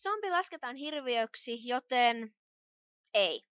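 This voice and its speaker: A woman's voice: a little high, expressive, no room sound, close to an everyday voice. A woman voicing a studious, cautious young pony magician in a cartoon fan dub, explaining things in a precise, earnest way. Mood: cautious, firm. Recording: dull lo-fi home recording.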